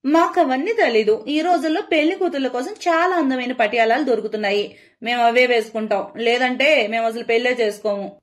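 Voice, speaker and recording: A woman's voice: fairly high pitch, a little fast, lightly acted. A woman voicing a vain, stubborn young woman in a cartoon story, bragging and defiant. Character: vain, stubborn young women, sisters and brides.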